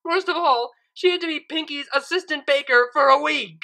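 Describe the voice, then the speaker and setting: A woman reading fan fiction, with expressive narration and casual commentary in a theatrical, emphatic manner. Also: high-pitched, moderate pace.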